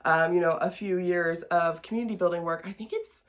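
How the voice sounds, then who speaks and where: A woman's voice: lowish voice, a little fast. Informal young American woman hosting a podcast. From small-town Southern Illinois, United States. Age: late twenties. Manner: informal, folksy, self-deprecating. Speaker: podcast host. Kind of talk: casual chat to camera, introducing a show.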